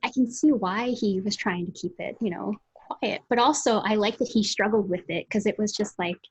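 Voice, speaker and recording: A woman's voice: medium pitch, moderate pace, slightly rough, poor audio. A woman co-hosting a casual book discussion over a video call, often rephrasing as she goes. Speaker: co-host. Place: indoor room at home, video call.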